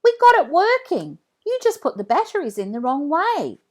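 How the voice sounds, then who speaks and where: A woman's voice: extremely high pitch, huge pitch swings, normal speed, darkish tone, voice pushed up, full character voice. A woman voicing a bold, chirpy young girl in a children's storybook, with emphatic, exclaiming dialogue. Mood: excited.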